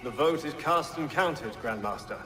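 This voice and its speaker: An actor playing a proud, defiant revolutionary politician in a video game, formal and declamatory. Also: male voice, a little high, animated, moderate pace, heavy background noise, lightly acted. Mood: resolute. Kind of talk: game cutscene acting. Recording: echoey hall, loud music.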